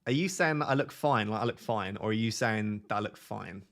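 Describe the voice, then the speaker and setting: A young man hosting a live stream, chatting casually with his viewers in a flat, monotone, self-deprecating way. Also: lowish voice, fairly quick. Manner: self-deprecating, chatty, reads out chat messages. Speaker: livestream host.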